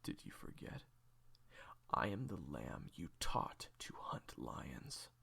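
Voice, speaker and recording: A hardened, bitter assassin acted by a man speaks in a low, rasping growl. His curt delivery seethes with defiance and swings widely from breathy menace to harsh force, as in a tense audio drama. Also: huge pitch swings, takes it slowly, bright, forceful tone, in a whisper, full character voice. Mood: cold, bitter. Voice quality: gravelly, husky. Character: bitter, defiant male assassin, former protégé of villain. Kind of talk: audio roleplay acting.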